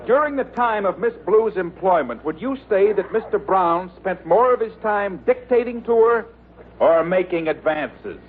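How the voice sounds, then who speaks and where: An actor playing a theatrical trial attorney in an old radio sitcom, speaking in a pompous, deliberate, pressing way. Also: male voice, high-pitched, expressive. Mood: formal. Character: stern, theatrical male trial attorney cross-examining witnesses. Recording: tinny noisy old radio, studio audience.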